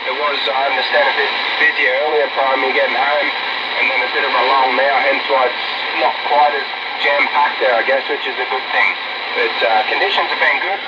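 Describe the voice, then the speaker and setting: A man's voice: mid-range voice, fairly even intonation, fairly quick. An Australian man chatting casually and in a rambling, matey way as a radio operator, heard over a two-way radio. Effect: two-way radio transmission. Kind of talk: casual radio contact chat. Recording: dull, very noisy radio reception.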